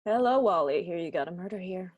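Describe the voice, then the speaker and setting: A woman acting a brisk, grumbling male homicide captain in a radio detective drama, with terse, businesslike questioning. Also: lowish voice, expressive, highs cut off. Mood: brisk, probing.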